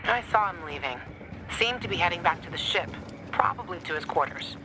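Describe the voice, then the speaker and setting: A woman acting as a street-tough female ally in a video game. She is blunt, wry and teasing, heard with clear noise behind her. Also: lowish voice, lively intonation, brisk, natural voice. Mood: dry, teasing.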